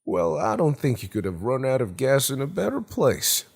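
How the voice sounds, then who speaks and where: A man's voice: medium pitch, sing-song, normal speed, breathy edge. A man voicing a cheery middle-aged suburban host in an audiobook, folksy and grating, with a Florida Yankee accent and breezy 1950s swagger. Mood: cheery, hospitable. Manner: folksy, eager, talks in one breezy rush.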